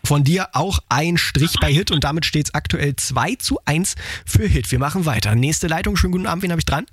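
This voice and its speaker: A man hosting a radio call-in show, chatting cheerfully and quickly with callers. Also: on the high side, lively intonation, slightly rough, no room sound. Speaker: radio host. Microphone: studio microphone.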